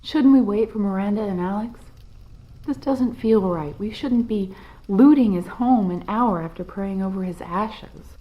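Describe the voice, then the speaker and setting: A woman playing a dignified, grieving middle-aged mother and widow in an audio drama, earnest and quick to reproach. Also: mid-range voice, dull audio, natural voice. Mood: uneasy, sorrowful. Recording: music behind the voice.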